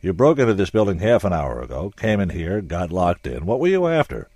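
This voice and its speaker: A man's voice: deep voice, huge pitch swings, brisk, a little mellow, no echo, voice pushed up, full character voice. A man voicing a skeptical, brusque male police captain in an audiobook, blunt and interrogating. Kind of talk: audiobook character voice.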